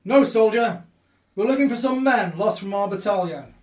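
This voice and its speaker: A man's voice: high voice, animated, moderate pace, a little mellow, far from the mic. A man playing an urgent soldier searching for lost comrades in a radio play.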